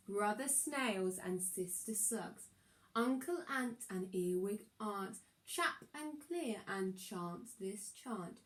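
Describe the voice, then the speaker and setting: A woman reading a children's rhyming storybook aloud as a teacher would, with expressive, sing-song, deliberate delivery. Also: sits high.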